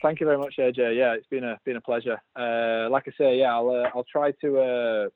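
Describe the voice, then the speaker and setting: A man's voice: mid-range voice, fairly even intonation, brisk. A man with a Yorkshire accent, a guest chatting casually and easily in a remote interview, a bit self-deprecating. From England. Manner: easygoing, chatty, self-deprecating, many fillers. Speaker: guest, brewery designer. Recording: dry, phone-like line.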